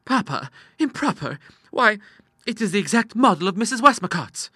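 A man's voice: sits high, very animated, moderate pace, pushed and bright, husky, dry recording, clean studio sound, pitched above the performer's own voice, full character voice. A man voicing a mischievous, bold young lady in an audiobook, with a gushing, teasing, girlish manner. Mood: gleeful. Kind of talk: audiobook character acting.

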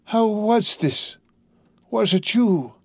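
A man reading aloud, voicing a frail, dazed old male prisoner who speaks in halting, wondering phrases. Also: a little high, darkish tone, no room sound, voice pushed up. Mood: bewildered. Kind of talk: audiobook character voice.

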